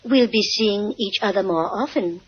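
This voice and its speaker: An actress playing a catty, sweetly gloating society woman with a husky, breathy voice, in 1950s radio drama style. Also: medium pitch, normal speed, lightly acted. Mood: sweetly malicious. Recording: muffled old radio broadcast.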